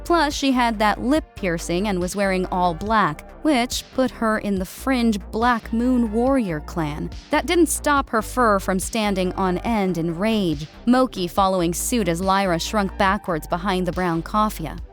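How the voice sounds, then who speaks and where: Seorang wanita membacakan narasi cerita fiksi sebagai narator buku audio. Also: female voice, medium pitch, unhurried, hardly any pauses, faint music behind. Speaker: audiobook narrator. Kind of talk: scripted fiction narration.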